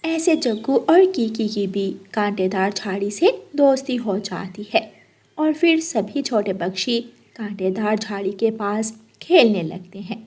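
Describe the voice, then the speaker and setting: A woman's voice: mid-range voice, measured pace, darkish tone, husky. A woman narrating a scripted children's cartoon story.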